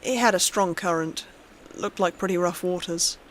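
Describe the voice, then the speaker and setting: A woman's voice: lowish voice, normal speed, a little hiss or hum, rough recording. A woman reading a scripted horror story aloud as its narrator.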